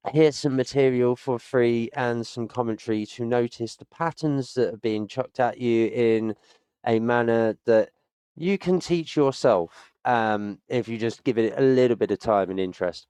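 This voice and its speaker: A man's voice: mid-range voice, darkish tone, smooth, dry recording. Rambling British man in his late thirties. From United Kingdom. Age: 30s. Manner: rambling and chatty, with many fillers and stock phrases. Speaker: streamer. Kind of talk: casual sign-off chat to viewers.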